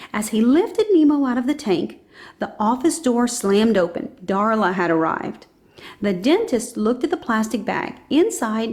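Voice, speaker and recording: Lady reading a kids picture book out loud, voice a bit on the high side. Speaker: children's storybook reader. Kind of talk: scripted storybook narration read aloud for children.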